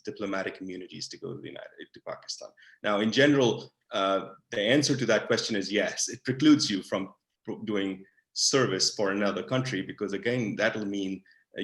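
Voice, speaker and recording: Male diplomat, fairly low register, measured and searching, recorded with room echo. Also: a bit bright. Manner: thoughtful, with frequent fillers and restarts. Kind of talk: answering audience questions. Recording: roomy echo.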